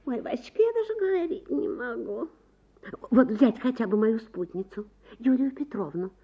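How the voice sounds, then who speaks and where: Gossipy society wife, high voice, husky edge, theatrical, gushing, coy, self-righteous, storybook drama. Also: female voice, animated, lo-fi sound. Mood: scandalised. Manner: gushing, theatrical, with coy drawn-out words and conspiratorial whispers. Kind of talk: dramatic literary reading.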